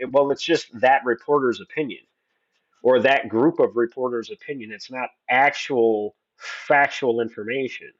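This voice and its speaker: A man's voice: mid-range voice, muffled recording. A man in his forties, a guest on a livestream, talking earnestly and at length with many restarts. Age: 40s. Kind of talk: opinionated conversation.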